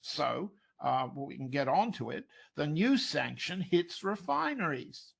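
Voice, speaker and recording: Slow, gravelly, higher-pitched male analyst. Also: big pitch swings. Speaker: interview guest, analyst. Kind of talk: unscripted political and economic analysis.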